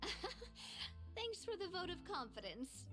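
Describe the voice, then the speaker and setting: A woman's voice: very high voice, slow pace, faint music behind, full character voice. An actress playing a spirited, playful and confident young woman leader in a video game. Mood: playfully modest. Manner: bubbly, teasing, expressive. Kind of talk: scripted video game acting.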